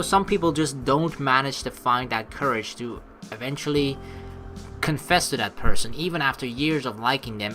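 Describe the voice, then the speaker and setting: A man gives casual, rambling commentary on a game in a bright, mid-pitched voice. He thinks out loud in informal language, swearing now and then, with faint music playing behind him. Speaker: let's play commentator. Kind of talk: casual game commentary with reading of on-screen text.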